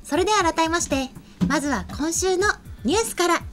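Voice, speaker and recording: A woman's voice: very high-pitched, fairly quick. A young woman presenting as an MC in a polite, formal manner at a desk microphone in a small studio. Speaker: show MC. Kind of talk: scripted presenting and co-host chat. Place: small indoor studio set. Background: faint music.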